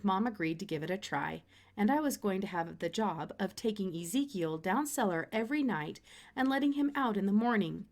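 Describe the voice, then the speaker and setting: A woman reading a children's storybook aloud in an expressive narrating style. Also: mid-range voice. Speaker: storybook reader.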